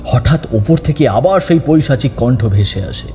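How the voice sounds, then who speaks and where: A man's voice: medium pitch, animated, moderate pace, gravelly, warm tone, a little hiss or hum. A man narrating a scripted horror story as an audiobook narrator. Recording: long reverb over background music.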